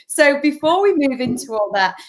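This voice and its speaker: Woman host, thirties, bright higher pitch, enthusiastic chatty manner, webcam sound. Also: very animated, normal speed. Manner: enthusiastic, chatty, laughs often. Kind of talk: casual interview over video call. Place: home room with shelves indoors. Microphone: video call webcam.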